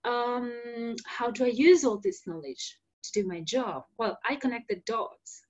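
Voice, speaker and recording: A woman's voice: fairly high pitch, measured pace, a little mellow, dull audio. A woman in her thirties from Argentina, a native Spanish speaker, hosting a solo podcast in an earnest, explanatory way with fillers and restarts. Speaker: podcast host.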